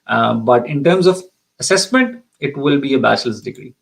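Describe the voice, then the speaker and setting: A man's voice: mid-range voice, animated, moderate pace, creaky. A man from Pakistan hosting a live question-and-answer session as an immigration consultant, speaking with frequent ums and restarts. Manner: hesitant, many ums and restarts.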